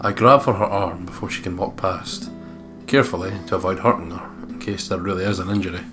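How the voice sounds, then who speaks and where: Low-voiced man reading game narration. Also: steady tone, quick pace. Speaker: let's play co-host. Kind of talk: reading visual novel narration aloud with casual commentary. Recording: music clearly behind the voice.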